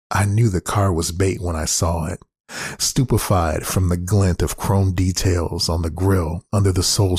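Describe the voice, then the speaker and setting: A man reading a poem aloud in a measured, deliberate literary style. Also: deep voice, darkish tone, husky, no room sound, studio quality. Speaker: poetry reader.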